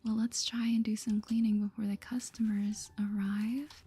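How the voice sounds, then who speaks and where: Whispering, bright-free soft ASMR gaming woman. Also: mid-range voice, flat delivery, moderate pace, breathy edge, faint music behind. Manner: chirpy polite shopkeeper patter, gentle. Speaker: gaming video host. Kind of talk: soft-spoken whispered ASMR game playthrough.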